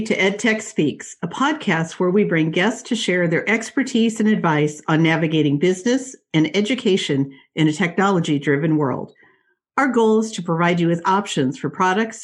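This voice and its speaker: A woman hosting an interview podcast, enthusiastic and quick to laugh along. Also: fairly low pitch. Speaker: podcast host.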